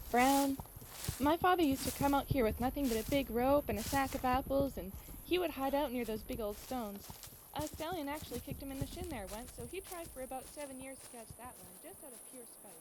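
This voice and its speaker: A woman playing a friendly, teasing young ranch girl in a fantasy audio drama, chatty and expressive. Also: sits high, background noise, natural voice.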